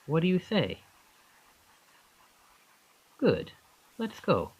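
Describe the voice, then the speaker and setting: A man's voice: a little high, huge pitch swings, very slow, darkish tone, slightly rough, dull audio, heavily acted. A man playing a charming young college student who is secretly a possessive, otherworldly captor, in an intimate, coaxing ASMR roleplay. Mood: friendly, coaxing.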